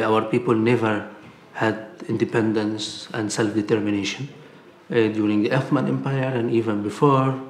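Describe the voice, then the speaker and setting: A man's voice: lowish voice, echoey room, slight background noise. A man from Lebanon, giving an earnest, explanatory documentary interview.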